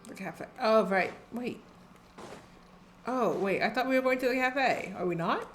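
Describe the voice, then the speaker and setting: A woman's voice: fairly high pitch, lively intonation, measured pace, a little hiss or hum. A young woman giving casual, rambling live commentary on a game, wry and self-deprecating. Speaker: game streamer. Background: game music.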